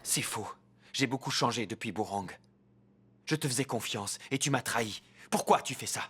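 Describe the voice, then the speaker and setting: A man's voice: mid-range voice, normal speed, fairly bright tone, slight background noise, lightly acted. A man voicing an indignant, betrayed former villain in a cartoon, speaking with wounded drama. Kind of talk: animated series dub.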